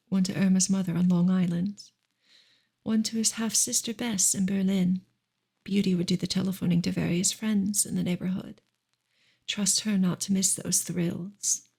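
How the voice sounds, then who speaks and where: A woman reading a classic novel aloud as soft ASMR, with a hushed, gentle, measured delivery and a breathy, husky voice. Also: mid-range voice. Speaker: ASMR reader and livestream host.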